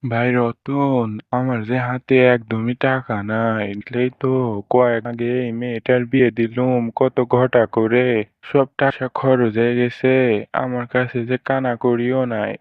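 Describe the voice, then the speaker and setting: A man's voice: mid-range voice, little pitch movement, unhurried, smooth, dry recording, lightly acted. A man voicing a stingy, grumbling, two-faced village neighbour in a cartoon, with a grudging, drawling manner. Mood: feigned regret. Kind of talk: Bengali moral-story cartoon dubbing. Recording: muffled dry audio with music.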